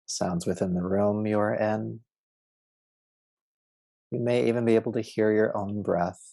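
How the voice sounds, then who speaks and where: A man guiding a yoga nidra relaxation as a teacher, with a soothing, measured, instructive delivery. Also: on the low side, steady tone, vocal fry, warm tone, no echo. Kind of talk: guided relaxation meditation.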